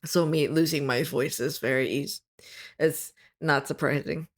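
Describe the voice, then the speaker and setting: A low-voiced woman streamer is reading her own story aloud. She keeps stopping to reword phrases and fix small slips, and her voice is starting to go rough. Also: fairly even intonation, moderate pace. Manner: stops often to correct her wording, laughs at slips. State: losing her voice.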